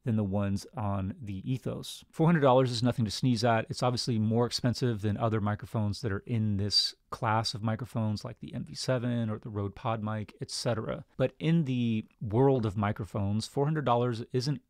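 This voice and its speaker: A man's voice: mid-range voice, normal speed, few pauses, dry recording. A man in his thirties giving a casual product review into a close studio microphone in a small home studio. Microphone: studio broadcast mic on a desk stand, close.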